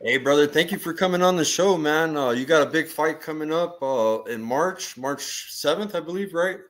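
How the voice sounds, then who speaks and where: A man's voice: on the high side, normal speed, a bit bright. A man hosting a boxing interview with casual, slangy, informal talk. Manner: slangy, informal fan-like address. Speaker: boxing show host.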